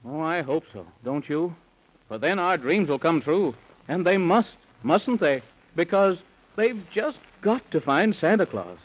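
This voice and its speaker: A man's voice: fairly high pitch, lively intonation, unhurried. A man announcing a 1930s children's radio serial, putting coaxing questions to his young listeners. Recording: muffled old 1930s radio recording.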